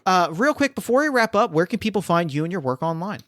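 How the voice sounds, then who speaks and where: Male podcast host and game master, rambly and full of filler words, smooth voice, dry close sound. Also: sits high, very animated, quick pace, a bit bright, no room sound. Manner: rambling, heavy use of "like" fillers. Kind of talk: casual post-game chat.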